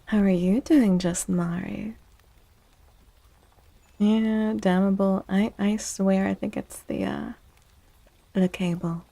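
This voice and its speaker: A woman speaks softly and close to the microphone as she hosts an ASMR livestream. Her voice is mellow with a creaky fry, and she takes her time. The delivery is casual and chatty, like talk addressed to a chat room of viewers. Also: mid-range voice, fairly even intonation. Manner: chatty, unhurried, greets viewers by name. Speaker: live streamer hosting ASMR tarot stream.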